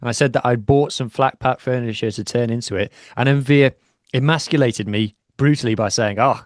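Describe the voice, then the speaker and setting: A man chatting in a self-deprecating way and breaking down rugby tactics at length. His voice sits at a middle pitch, recorded dry in a home studio with no room echo. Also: brisk. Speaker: podcast co-host. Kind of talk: casual chat and rugby analysis.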